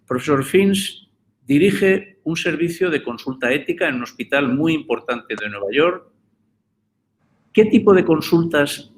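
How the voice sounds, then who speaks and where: A man moderating an online course, introducing a guest and asking him questions in a conversational way. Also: on the high side. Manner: conversational, with small restarts. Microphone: video call.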